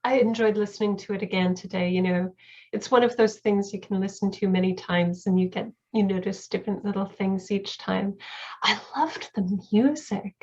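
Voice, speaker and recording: A woman takes part in a casual conference chat. Her voice is of middling pitch, and the video-call audio is a little dull and lo-fi. She speaks conversationally, as a participant sharing her own thoughts. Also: normal speed, darkish tone. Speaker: panel participant, conference staffer.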